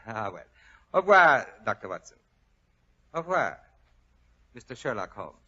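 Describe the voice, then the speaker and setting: A man's voice: medium pitch, very animated, moderate pace, bright, forceful tone, husky, exaggerated voice. An actor playing a fussy, effusive Frenchman with a flowery, slyly menacing politeness and a French accent, in 1940s radio style. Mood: smooth, guarded. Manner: flowery, pompous, overly polite. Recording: dull old-time radio recording.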